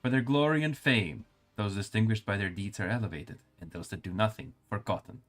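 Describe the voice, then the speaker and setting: A male streamer with a calm, soothing voice, reading game lore aloud and adding casual remarks. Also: on the low side, a little fast, faint music behind.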